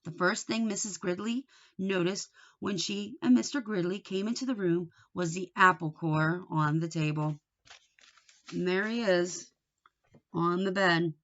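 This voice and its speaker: A woman reading a children's book aloud in an expressive storytelling manner. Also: on the low side, unhurried, highs cut off. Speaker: storybook reader.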